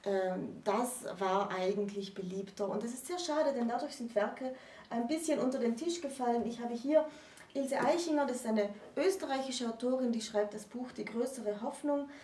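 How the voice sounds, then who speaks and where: A woman's voice: a little high, normal speed, a little mellow. A woman in her thirties explaining literature as a co-host in a discussion to camera. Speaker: co-host presenting books. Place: room with bookshelves, indoors. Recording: roomy echo, distant microphone.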